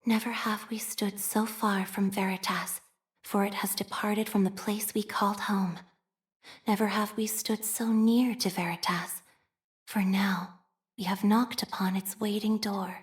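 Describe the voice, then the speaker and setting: An actress voicing a soft-spoken, devout young woman scholar of a religious order in a video game, speaking formally and solemnly. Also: medium pitch, flat delivery, natural voice. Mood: solemn, hushed. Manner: formal, solemn, measured, reverent. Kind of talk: scripted video game character voice lines.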